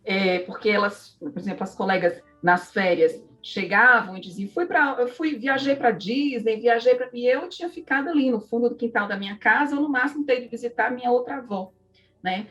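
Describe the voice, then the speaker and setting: A woman's voice: a little high, fairly quick, fairly bright tone, far from the mic, faint music behind. A woman with a Northeastern Brazilian accent telling personal stories in a conversational interview, reflective and often adding little tags for agreement. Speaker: interview guest, psychology professor.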